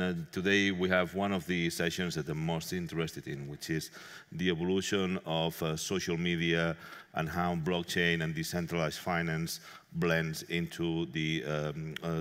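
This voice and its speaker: A man moderating a conference panel on stage, speaking haltingly with many filler sounds into a headset mic. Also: rumbling low, fairly bright tone. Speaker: moderator and event organizer. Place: large conference hall stage. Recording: long reverb of a large hall.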